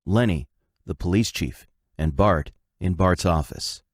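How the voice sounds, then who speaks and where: Deep-voiced male scene narrator. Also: a little slow, dry recording, clean studio sound.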